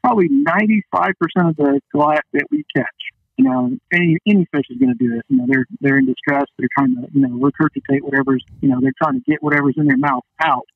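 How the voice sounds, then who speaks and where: Guy calling into a podcast, lively swoopy voice kinda high, folksy storyteller, muffled phone sound. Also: fast, vocal fry, husky, no echo. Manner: chatty, folksy, peppered with "you know". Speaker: guest, fishing charter captain. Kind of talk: casual storytelling interview chat. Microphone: phone call. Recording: dull band-limited phone line.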